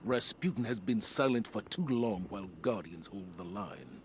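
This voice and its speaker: A man playing a stern, skeptical, dutiful military commander in a video game, speaking in a grave, formal, measured way. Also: on the low side, expressive, a little hiss or hum.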